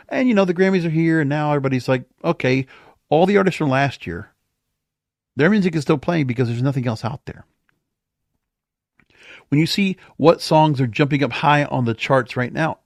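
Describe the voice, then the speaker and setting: A man's voice: a little high, darkish tone, no echo. Man rambling casually in a dry studio. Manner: conversational, rambling, thinking aloud. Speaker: podcast host. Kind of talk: casual solo monologue. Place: home or small studio.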